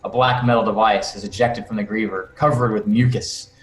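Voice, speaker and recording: A man's voice: on the low side, fairly even intonation, a little fast. A man reading screenplay stage directions aloud as narrator at a table read over a video call. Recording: roomy video call, faint noise.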